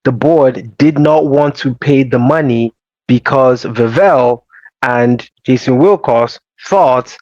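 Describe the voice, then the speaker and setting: Slow, emphatic football host man. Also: mid-range voice, a little mellow, no echo. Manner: measured, emphatic explaining. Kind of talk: casual football analysis. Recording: slightly dull dry audio.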